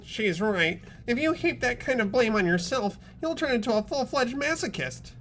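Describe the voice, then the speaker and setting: A man acting an earnest, resolute high school boy carrying grief, speaking emphatically in an amateur game dub. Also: animated, moderate pace, a bit bright, faint music behind. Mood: firm, consoling.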